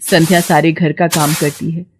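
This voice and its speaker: A woman narrating a cartoon moral story in an even, plain storytelling manner. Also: sits low, normal speed, over music.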